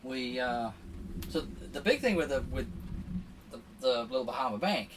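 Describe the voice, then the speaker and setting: A man's voice: mid-range voice, animated, normal speed. Man telling a story, slightly distant mic. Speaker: podcast guest, sailor. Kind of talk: casual conversational storytelling. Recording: distant mic with faint background noise.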